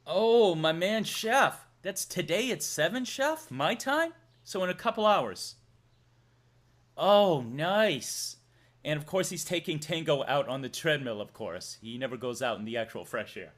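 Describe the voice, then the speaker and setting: A man's voice: on the high side, expressive, unhurried, a bit bright. A man chatting on a live stream, rambling playfully and reading out viewer donations. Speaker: livestreamer.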